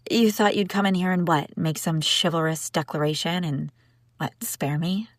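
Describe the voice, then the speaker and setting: A woman's voice: fairly low pitch, fairly even intonation, normal speed, dry recording, natural voice. Haughty lovesick young princess, acted by a woman, close and dry. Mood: indignant, proud. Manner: teasing, indignant, sighing, breaking off mid-sentence. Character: haughty young princess bride, sharp-tongued, secretly lovesick. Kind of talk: intimate scripted audio roleplay addressed to listener.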